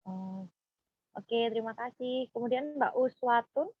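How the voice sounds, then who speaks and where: A woman's voice: fairly high pitch, no echo. Muffled, hesitant young woman facilitator. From Purworejo, Central Java, Indonesia. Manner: hesitant, halting explanations. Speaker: group counseling facilitator. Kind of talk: leading an informal group session. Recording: dull, muffled lo-fi sound.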